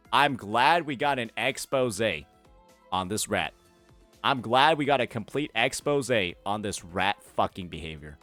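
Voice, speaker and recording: A man's voice: mid-range voice, a bit bright. A man streaming angry reaction commentary, heated, repeating himself and swearing. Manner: heated, repetitive, profane.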